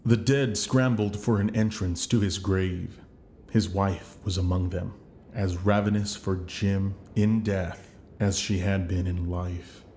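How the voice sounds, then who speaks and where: A man's voice: low-pitched, steady tone, slow pace, darkish tone, slight background noise. A man working as a voice actor, reading scripted narration for a demo. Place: home recording setup.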